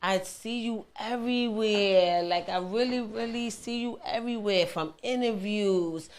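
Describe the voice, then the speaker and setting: A woman's voice: medium pitch, a little slow. A woman hosting a casual, hyped radio interview, slangy and emphatic, often repeating her phrases. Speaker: radio show host.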